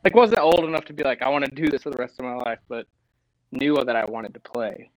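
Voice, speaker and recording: A young man from Tennessee, a lead singer telling stories in a casual interview, animated and laughing often. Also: mid-range voice, fast talker, no echo, low-quality recording.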